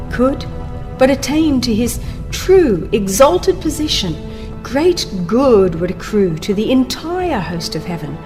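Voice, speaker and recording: A woman narrating a religious book as a dramatized audiobook, with solemn, theatrical and deliberate phrasing. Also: medium pitch. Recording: music under the voice. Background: background music.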